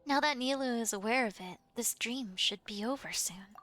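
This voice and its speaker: Casual woman streaming a game, slightly high. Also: normal speed, pushed and bright, soft background music. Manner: casual, reads player dialogue aloud and reacts. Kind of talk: live game stream, reading lines and reacting.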